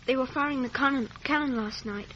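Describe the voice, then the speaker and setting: A woman's voice: high-pitched, a little fast, natural voice. A woman voicing a timid, polite, frightened young boy in a radio drama. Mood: timid, curious. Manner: meek, anxious, deferential. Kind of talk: 1950s radio drama acting. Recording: muffled, noisy vintage radio recording.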